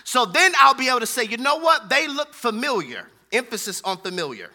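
A man's voice: extremely high pitch, big pitch swings, fast talker, pushed and bright. An American man preaching a fervent sermon with emphatic, repetitive rhetorical phrasing.

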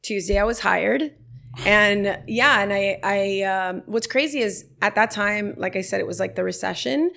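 A woman's voice: mid-range voice, moderate pace, vocal fry, a bit bright. A woman in her thirties, telling stories in a casual interview and livening them up when quoting people. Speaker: podcast guest.

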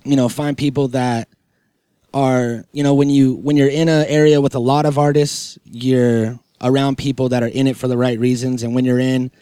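A man's voice: mid-range voice, level tone, normal speed, dark tone, clean tone, dry recording, studio quality. A man chatting casually and informally on a podcast, using slang and plenty of filler phrases. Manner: informal, slangy, peppered with "you know".

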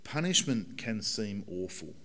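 A man in his forties, a pastor with a croaky, hoarse voice, giving a conversational and earnest sermon in a church. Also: on the low side, big pitch swings, a little slow, fairly bright tone, slight background noise. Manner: conversational, earnest preaching with fillers. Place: church hall.

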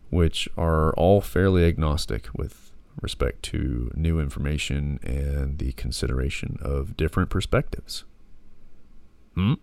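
A man in his thirties talks alone into a close mic, his voice hoarse from illness, very deep and full of gravel. He rambles slowly and reflectively, with plenty of fillers. The recording is bone-dry and clear. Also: a little mellow, no echo. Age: 30s. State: hoarse from a respiratory infection. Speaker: podcast host. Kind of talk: casual solo monologue.

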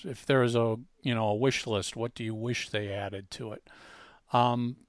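A man's voice: mid-range voice, steady tone, no room sound, clean studio sound. A man hosting a podcast in a conversational demo style, emphatic and often restarting his words. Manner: emphatic, halting with repeated word starts. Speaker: podcast host.

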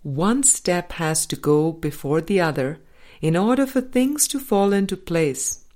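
A woman reading a spiritual book aloud as an audiobook narrator, in measured, deliberate phrases with pauses. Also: lowish voice, lively intonation, darkish tone. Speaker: audiobook reader.

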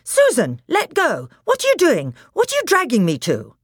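A woman's voice: mid-range voice, huge pitch swings, normal speed, dry recording, clean studio sound, voice pushed up. A woman playing a decisive, sensible eldest schoolboy, hearty and direct, in a storybook reading. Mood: indignant. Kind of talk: audiobook character acting.

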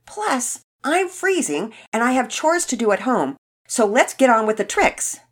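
A woman reads as a humble, patient father from a children's story. The delivery is gently reasoning, with animated rises and falls. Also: on the high side, measured pace, pitched above the performer's own voice. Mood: placating.

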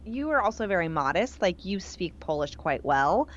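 A woman in casual conversation, teasing a friend and telling an animated anecdote. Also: lowish voice, moderate pace, dull audio, slight background noise.

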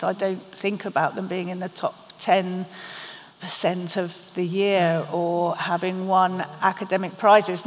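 A woman on a live conference panel, talking thoughtfully and often pausing to search for the right word. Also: mid-range voice. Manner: thoughtful, searching for words, many restarts. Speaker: podcast panel host. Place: conference hall, on stage. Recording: reverberant hall.

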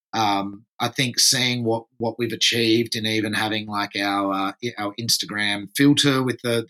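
Bright-voiced man from France, rambling. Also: lowish voice, rough recording. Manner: rambling, repeats words while thinking. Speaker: podcast guest, surf environmentalist. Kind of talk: casual podcast interview.

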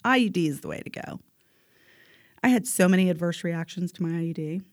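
A woman's voice: lowish voice, expressive, a little fast, darkish tone, no echo, studio quality. A woman in her forties talking emphatically in a casual health chat, often tagging her points with "right?". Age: 40s. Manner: emphatic, keeps asking "right?".

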